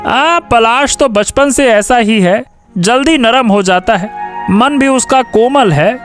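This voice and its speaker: Cartoon in-law man performing: snooty plotter, extremely high voice, slow disdainful delivery. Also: faint music behind. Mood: dismissive. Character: snobbish, scheming grown child of the household. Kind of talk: Hindi cartoon story acting.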